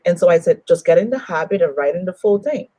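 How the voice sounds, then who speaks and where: A woman hosts a live online talk show, and her voice sits low and mellow. She speaks effusively, warmly greeting viewers one by one. The sound is dry and close. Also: animated, fast, no echo. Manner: effusive, welcomes viewers by name. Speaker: host.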